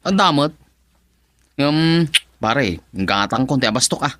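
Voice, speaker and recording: A man's voice: medium pitch, expressive, takes it slowly, fairly bright tone, no room sound. An actor playing a joking male friend and drinking buddy who teases his pal, in a radio drama. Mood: teasing. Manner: teasing, laughs often.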